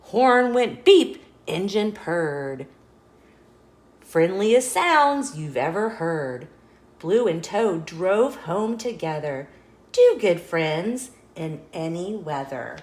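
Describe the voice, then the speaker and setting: Animated woman reading a children's picture book. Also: fairly low pitch. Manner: expressive, measured storytelling for young children. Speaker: storyteller, host of a children's read-along show. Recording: roomy echo.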